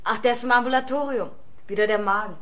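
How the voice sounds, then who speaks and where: An actress playing a brisk, composed, pointed office clerk in a German radio crime drama. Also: female voice, a little high, fairly bright tone, close to an everyday voice. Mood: businesslike. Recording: clear background noise.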